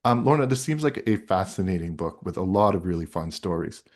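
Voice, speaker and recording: Lowish-voiced man hosting an interview. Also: animated, brisk. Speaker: interviewer, host. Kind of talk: conversational interview questioning.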